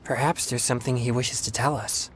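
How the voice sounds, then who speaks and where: An actor playing an earnest young exorcist in a video game, speaking politely and formally with hesitant pauses. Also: male voice, medium pitch, fairly even intonation, a bit bright, slight background noise, natural voice. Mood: calm, thoughtful. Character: earnest young male exorcist, excitable yet self-controlled.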